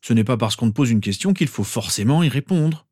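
A man's voice: medium pitch, a little fast, a little mellow, close to an everyday voice. A man voicing a stern, protective father and teacher in an audiobook, firm and lecturing. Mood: displeased.

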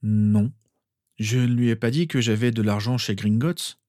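A man's voice: medium pitch, measured pace, darkish tone, no room sound, close to an everyday voice. An earnest teenage wizard from a storybook, played by a man, a little awkward and hesitant as he explains. The recording is dry. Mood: embarrassed. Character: earnest, awkward seventeen-year-old wizard boy.

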